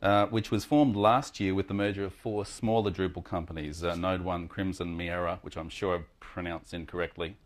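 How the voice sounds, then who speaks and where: A man with a fairly low voice hosts a conference session. He introduces the speaker informally, in a chatty, jokey way with some hesitation. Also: fairly even intonation, moderate pace, hardly any pauses.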